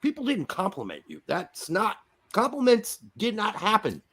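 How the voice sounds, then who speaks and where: A man's voice: sits high, huge pitch swings, normal speed, husky. A man in his fifties with an American accent, raised in Germany, co-hosting a casual chat and ranting with heavy profanity. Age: 50s. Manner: profane ranting, loud outbursts. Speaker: podcast co-host.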